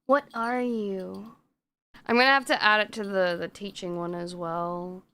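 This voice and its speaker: Bright, crisp young woman. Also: mid-range voice, fairly even intonation, measured pace. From Australia. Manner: chatty, swears freely, laughs often. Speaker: streamer. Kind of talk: casual chat stream.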